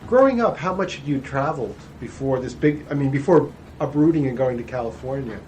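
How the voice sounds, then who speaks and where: A man's voice: mid-range voice, animated, brisk, a little mellow, a little hiss or hum, poor audio. A man interviewing a veteran, asking questions with hesitant restarts. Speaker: oral history interviewer.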